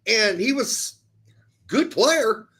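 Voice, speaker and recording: A man's voice: extremely high pitch, animated, very slow, pushed and bright, slightly rough. A man with an American accent chatting casually about sports as a livestream co-host, blunt and opinionated.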